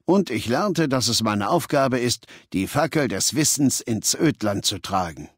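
A man voicing a cold, imperious warlord for a video game, speaking in a measured, self-assured, lecturing way. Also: medium pitch, husky, no echo, clean studio sound. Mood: reflective, self-assured. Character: imperious, cold male warlord ruling a slave-taking legion. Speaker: game character voice. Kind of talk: German video game dialogue.

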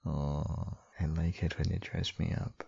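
A man with a gravelly, husky voice doing a soft, intimate boyfriend ASMR roleplay as a loving, doting husband and father. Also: a bass voice, little pitch movement, highs cut off. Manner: tender, doting, slow, murmured with soft hums.

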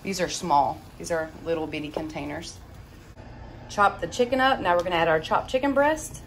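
A woman's voice: lowish voice, normal speed. Chatty woman over kitchen noise. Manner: chatty, informal, folksy. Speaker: home cooking vlogger. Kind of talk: casual cook-along narration. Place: home kitchen, indoors. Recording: clear sizzling and kitchen noise. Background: frying sizzle, kitchen noise.

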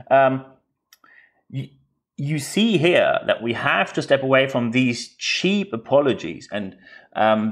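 German-accented male podcast host, emphatic delivery. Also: medium pitch. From northern Germany. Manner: emphatic, rhetorical questions and repetition. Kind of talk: unscripted solo podcast commentary.

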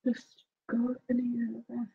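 A woman vlogger chatting casually while applying her makeup, a little sniffly with a cold, asking viewers questions and murmuring soft asides as she concentrates. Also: a little high, completely flat delivery, unhurried, vocal fry, narrow, tinny audio. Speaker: beauty vlogger.

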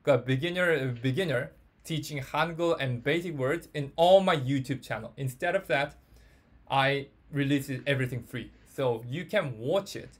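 A man with a Korean accent teaches an informal online language class in halting, repetitive English as a non-native speaker. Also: medium pitch. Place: online group audio call.

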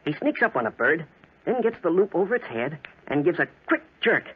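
A man's voice: a little high, big pitch swings, a little fast, slightly rough, full character voice. An actor playing a teasing, folksy country boy, someone's younger brother, in a 1940s radio drama. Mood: wary. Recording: muffled 1940s radio recording.

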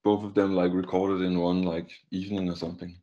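Young male musician, Danish accent, low voice, casual interview over dull-sounding video call. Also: little pitch movement, brisk, dark tone. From Denmark. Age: young adult.

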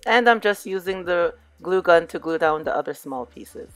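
Hoarse woman narrating a crafts tutorial. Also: fairly low pitch, moderate pace, soft background music. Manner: relaxed, chatty, addresses viewers as "you guys". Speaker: DIY crafts vlogger. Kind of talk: casual crafting tutorial voice-over.

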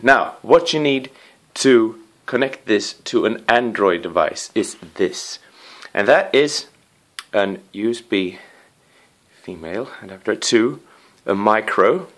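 A man with a fairly low voice talks very slowly through a casual hands-on gadget review. He rambles and slips in apologetic asides, with a playful, excitable streak. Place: living room with wooden floor and rug.

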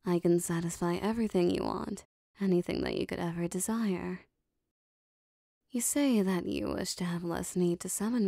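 A woman playing a powerful, possessive female demon devoted to her master, speaking formally and deliberately in an ASMR roleplay. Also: medium pitch, creaky, mellow, dry recording, studio quality. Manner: formal, deliberate, intimate phrasing.

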